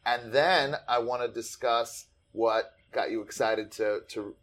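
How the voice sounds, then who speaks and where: A man's voice: medium pitch, a little slow. Enthusiastic male interviewer. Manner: enthusiastic, animated storyteller. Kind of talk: conversational interview.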